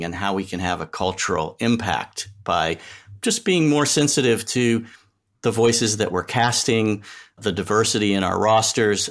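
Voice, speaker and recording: A middle-aged or older man giving thoughtful, articulate interview answers as a podcast guest, in measured, reflective phrasing. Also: fairly low pitch, a bit bright. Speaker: podcast guest, sonic strategy expert.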